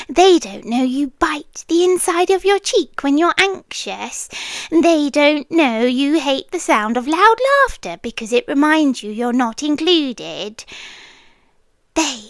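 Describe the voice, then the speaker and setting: A high, intimate British female voice, a woman acting as an obsessive landlady girlfriend in ASMR roleplay. She speaks extremely slowly, sweet yet controlling, often sinking into a breathy whisper. The sound is dry and close. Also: no room sound, full character voice. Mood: possessive, knowing, then insistent, hushed concern. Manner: slow, deliberate, coaxing and commanding.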